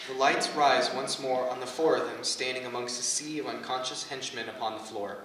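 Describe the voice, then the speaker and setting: A man's voice: medium pitch, steady tone, a little fast, a bit bright. A man reading stage directions as the scripted narrator of a staged play reading. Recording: echoey hall, distant mic, background noise.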